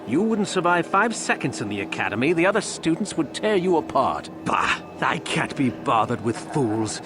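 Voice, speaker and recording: A man's voice: a little high, big pitch swings, moderate pace, music in the background. A man playing a sadistic, haughty Sith instructor in a video game, mocking and theatrical. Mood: mocking, musing. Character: sneering, sadistic Sith instructor, contemptuous bully. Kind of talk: video game villain acting.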